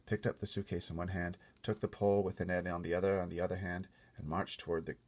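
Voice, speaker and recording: A man with a gravelly, husky voice reading a storybook aloud expressively, with casual asides. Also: low voice, flat delivery, fast, a little mellow. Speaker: storybook reader.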